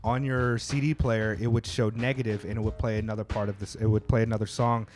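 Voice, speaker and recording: A man's voice: lowish voice, level tone, quick pace, darkish tone. A man hosting a casual conversation, talking in a loose, slangy way and swearing freely. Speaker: host. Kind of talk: casual chat.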